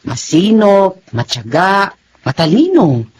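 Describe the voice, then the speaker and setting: An actress playing a kindly, encouraging middle-aged Filipino college dean in an expressive radio drama style. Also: female voice, on the low side, takes it slowly, a little hiss or hum. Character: kindly, admiring female college dean and mother.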